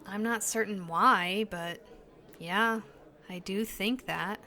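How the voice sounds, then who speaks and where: A woman's voice: medium pitch, slow pace, pushed and bright. An actress playing an uneasy but determined young woman in an audio drama, speaking in a hesitant, earnest, questioning way. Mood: puzzled. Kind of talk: naturalistic audio drama dialogue.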